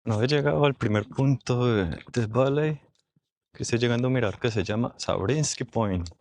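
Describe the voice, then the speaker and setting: A man with a hoarse voice vlogging casually outdoors in a desert park, chatting and thinking aloud to his viewers. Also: mid-range voice, moderate pace, darkish tone. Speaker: travel vlogger.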